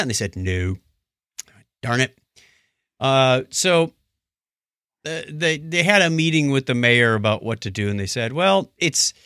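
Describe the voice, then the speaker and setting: A man's voice: mid-range voice, pushed and bright, no echo, studio quality. A man hosting a casual podcast, retelling odd news stories in a wry, bantering way. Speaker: podcast host.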